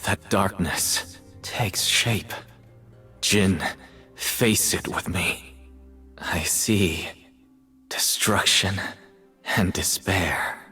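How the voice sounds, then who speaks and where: A moody painter mage from a fantasy game, deep breathy voice, talks super slow and solemn, music underneath. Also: male voice, sharp tone, recorded from a distance, exaggerated voice. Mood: solemn, brooding. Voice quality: husky, breathy. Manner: slow, solemn, poetic delivery. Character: brooding, haunted male artist mage from a fantasy game. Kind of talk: video game character acting. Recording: game audio clips over music.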